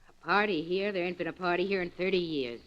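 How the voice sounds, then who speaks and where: A woman's voice: on the low side, fast, natural voice. An actress playing a surly, suspicious woman in an old radio drama, with curt, ungrammatical folksy speech. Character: surly, suspicious woman answering her door late at night. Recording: muffled vintage radio recording with hiss.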